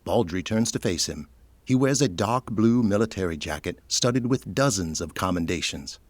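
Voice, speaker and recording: Casting: male narrator for audio drama, deep voice, scripted scene-setting read. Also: lively intonation, moderate pace, no room sound.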